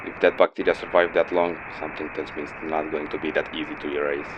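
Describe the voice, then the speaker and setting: Man playing a very deep-voiced, jaded mercenary. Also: little pitch movement, fast talker. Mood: dry, sardonic. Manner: sarcastic, grumbling, blunt. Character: jaded, sardonic male mercenary codenamed Phantom. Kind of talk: audio log drama acting for a game. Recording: dull lo-fi audio, noisy vehicle-like background.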